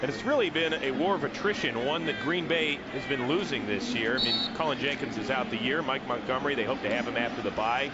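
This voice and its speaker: A man's voice: mid-range voice, quick pace, hardly any pauses. A man calling a football game play by play, his voice jumping up on big plays. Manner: excitable, rises sharply on big plays. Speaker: play-by-play commentator. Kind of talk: sports play-by-play commentary. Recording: muffled broadcast audio with loud noise.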